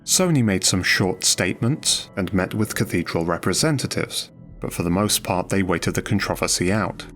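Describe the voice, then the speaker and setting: A man's voice: deep voice, moderate pace, a bit bright. A man reading scripted documentary narration over music.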